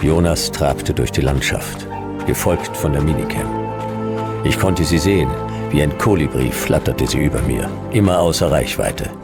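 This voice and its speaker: A man's voice: extremely low pitch, animated, normal speed. A man playing a laconic, world-weary detective in a radio play, speaking in a terse, dry, brooding way. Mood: brooding narration. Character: laconic, world-weary male hard-boiled detective narrating his adventure. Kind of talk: radio noir narration and dialogue. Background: music.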